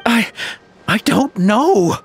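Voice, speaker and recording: A man's voice: high-pitched, animated, drawn-out words, creaky, breathy edge, faint music behind, full character voice. A man playing a nervous captured henchman in a video game, stammering and hesitant. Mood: nervous, defensive. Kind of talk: video game character acting.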